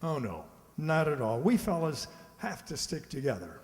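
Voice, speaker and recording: Echoey hall recording, guy playing a smug henpecked husband, highish voice, chummy n confiding, radio play. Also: big pitch swings, normal speed. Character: henpecked husband, quietly smug about his secret scheme. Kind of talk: amateur radio drama acting. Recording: echoey hall, faint background noise.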